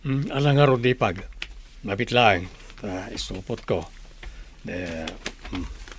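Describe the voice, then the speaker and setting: An actor playing a gentle middle-aged widowed father, a village tailor, in a radio drama. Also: lowish voice, animated, takes it slowly, slight background noise. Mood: polite. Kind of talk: Ilocano radio drama acting.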